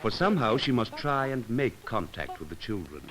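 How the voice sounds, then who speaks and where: A man reading scripted narration for an educational film, in a measured, solemn and poetic way with deliberate pauses. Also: mid-range voice, very animated, a bit bright. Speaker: documentary narrator.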